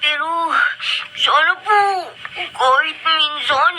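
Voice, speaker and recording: A woman's voice: high voice, slow, pushed and bright, soft background music, heavily acted, low-quality recording. A woman playing a lonely, tearful young child who pleads politely and plaintively in a Filipino radio drama. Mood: sad, wistful.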